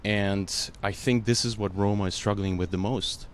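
Russian-born male actor at a panel: low-pitched, heartfelt, halting delivery over faint room noise. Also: fairly even intonation. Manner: earnest, emotional, many "you know" fillers. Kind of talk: panel interview answer.

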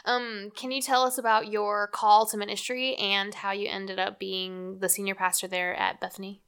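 A woman's voice: mid-range voice, flat delivery, normal speed, a bit bright, smooth. A woman hosting a podcast, reading an upbeat intro and asking interview questions. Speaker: podcast host.

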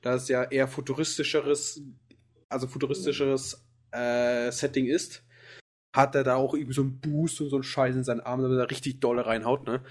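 Male podcast co-host, mid-pitched, loose and rambling. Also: little pitch movement, fairly quick. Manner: rambling, many fillers and restarts. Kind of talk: casual chat about anime.

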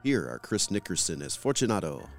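A male announcer reads a scripted introduction in a deep, low voice. Faint music plays behind him. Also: very animated, brisk, gravelly.

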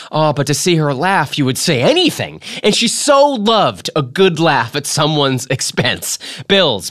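A man reading a memoir aloud as a podcast host, in a theatrical, emphatic style with dramatic pauses. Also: fairly high pitch, fairly bright tone, dry recording, clean studio sound. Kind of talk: expressive dramatic literary reading.

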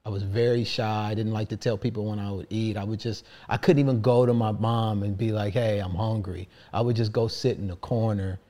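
A man with a low, mellow voice hosts the conversation and opens up about himself. He speaks softly and reflectively, pausing and second-guessing his own word choices. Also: steady tone. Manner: soft-spoken and reflective, hesitant with self-corrections. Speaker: interviewer. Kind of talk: conversational interview.

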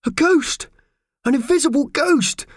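A man voicing an anxious, excitable little male mouse who blurts and frets, in a children's audiobook. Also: very high-pitched, a little slow, dry recording, clean studio sound, voice pushed up. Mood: terrified. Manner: exclaiming, fretful, blurting.

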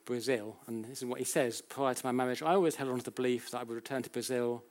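Male British lecturer, mid-range voice, informal explainer style, halting delivery with self-corrections and rhetorical questions. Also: medium pitch, steady tone. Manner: conversational, checks in with rhetorical questions. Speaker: academic lecturer. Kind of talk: public lecture, explanatory and anecdotal.

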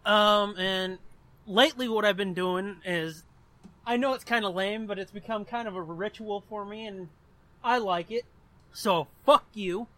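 High-pitched, bright-voiced sweary man. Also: normal speed. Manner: sweary, joking, blunt. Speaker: podcast co-host. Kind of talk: casual banter, answering listener questions.

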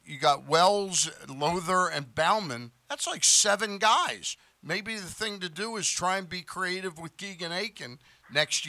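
A man's voice: a little high, bright, forceful tone, dry recording. A man hosting a sports radio show, talking casually and offering his opinions on baseball in a conversational way.

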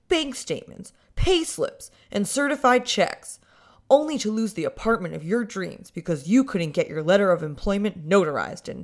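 A woman voicing an overly upbeat female announcer in a mock radio advertisement, with exaggerated salesy enthusiasm. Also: on the low side.

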